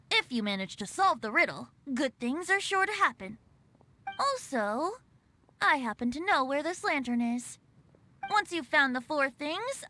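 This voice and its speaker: A woman's voice: high-pitched, pushed and bright, a little hiss or hum, full character voice. A woman voicing a playful, teasing young male bard in a video game, laughing often. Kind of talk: game voice acting.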